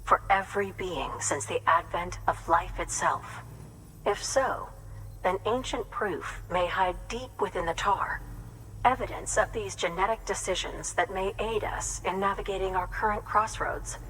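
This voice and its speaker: An actress playing a studious, grateful scientist in a video game, speaking in a formal, measured, erudite way. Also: female voice, medium pitch, a bit bright, a little hiss or hum, close to an everyday voice, poor audio. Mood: earnest, musing. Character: studious, grateful female scientist researching tar and extinction. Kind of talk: dramatic game dialogue, expository.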